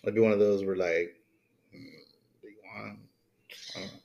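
A man with a fairly low voice chats as a podcast co-host. His banter is casual and slangy, with plenty of swearing. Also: fairly even intonation, moderate pace, vocal fry, a little mellow, dull audio. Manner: profane, slangy.

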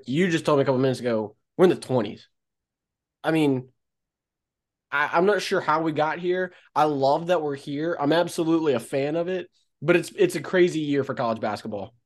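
A man hosting a college sports podcast, talking in an upbeat, energetic way. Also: mid-range voice. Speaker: podcast host. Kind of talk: casual sports podcast chat. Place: Columbia.

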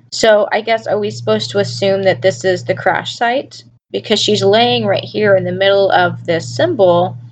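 A woman's voice: medium pitch, lo-fi sound. A woman hosting a solo review podcast, talking casually while sick and losing her voice, with a scratchy voice and musing, self-questioning delivery. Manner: musing, asks listeners questions, many restarts. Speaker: podcast host reviewing a TV episode.

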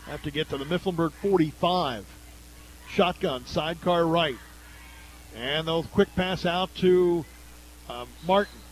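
An American man doing live radio play-by-play commentary of a high school football game. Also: a little slow, slight background noise, rough recording. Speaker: play-by-play announcer.

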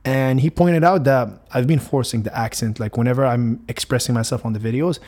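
A man's voice: medium pitch, fairly quick, darkish tone. Rambling young Moroccan man. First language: Arabic. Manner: rambling, many restarts and fillers. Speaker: podcast host. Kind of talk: casual solo podcast monologue.

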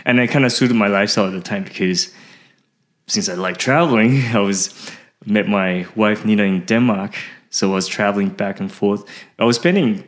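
A middle-aged man from Taiwan chatting casually as an interview guest, often halting and repeating words. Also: lowish voice. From Taiwan, later Australia and Canada. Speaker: podcast guest, fishing website founder.

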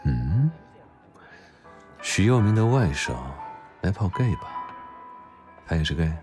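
A cool, sardonic and commanding man, played by a male actor in an audio drama. His voice is deep with lively shifts of pitch, and faint music plays behind. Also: slow, full character voice. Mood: dry teasing. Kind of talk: Chinese audio drama acting.

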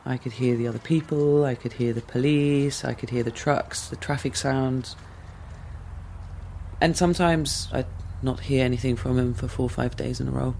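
A man calmly and candidly recounting a past relationship in a thoughtful, measured way. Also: mid-range voice, gravelly, slight background noise. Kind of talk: reflective personal storytelling.

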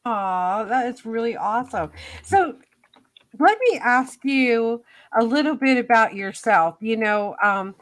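A woman's voice: on the high side, expressive, unhurried. A woman hosting a radio talk show, chatty and effusive as she welcomes and questions her guest. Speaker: host.

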